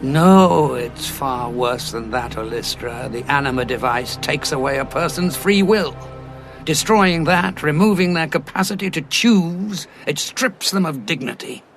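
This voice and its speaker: An actor playing an eccentric, grave time-traveller, theatrical and deliberate, in a sci-fi audio drama. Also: male voice, a little high, fairly bright tone. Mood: morally appalled. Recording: music bed behind the dialogue.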